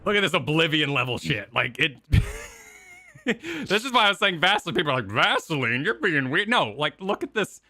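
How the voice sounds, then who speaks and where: A man co-hosting a gaming reaction stream, giving casual, animated, bantering commentary. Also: a little high, normal speed.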